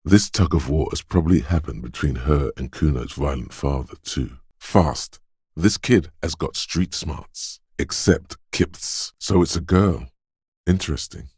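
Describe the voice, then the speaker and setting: A watchful inner voice narrating a detective video game. He is extremely deep, with a slightly husky edge and a dry studio sound. The delivery is deliberate and knowing, wry yet always alert. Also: darkish tone, no room sound, full character voice. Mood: alert, measured.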